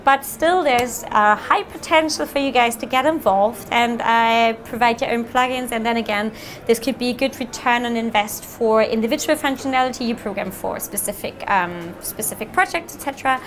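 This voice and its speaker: Woman presenting software at a meetup. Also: a little high, moderate pace, a little hiss or hum. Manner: friendly, fluent, frequent ums. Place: meetup room with audience.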